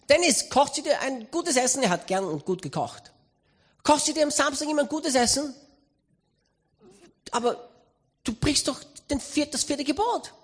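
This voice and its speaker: A man with an Austrian accent preaching a sermon, telling a story with humor and lively emphasis. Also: very high voice. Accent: Austrian German. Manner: animated, humorous anecdotal delivery.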